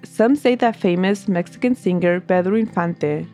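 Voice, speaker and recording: Low-voiced woman with a stuffy nose. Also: moderate pace, soft background music. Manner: steady storytelling narration. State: stuffy from a cold. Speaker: podcast host. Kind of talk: reading a scripted history story.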